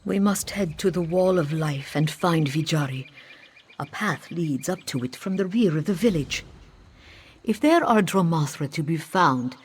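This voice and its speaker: Woman as low-voiced solemn cat-folk priestess. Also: breathy edge. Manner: formal, speaks of self in third person. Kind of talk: scripted fantasy game dialogue. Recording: background music under the voice.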